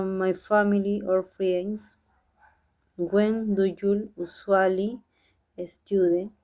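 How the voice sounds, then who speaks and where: A young Peruvian woman and language student reads both parts of a practice dialogue in English, with a strong Peruvian Spanish accent. She speaks slowly, with many stops and restarts. Her voice is flat and even, with a soft, mellow tone. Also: medium pitch. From Peru. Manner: halting, reads haltingly with restarts. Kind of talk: reading a practice dialogue aloud.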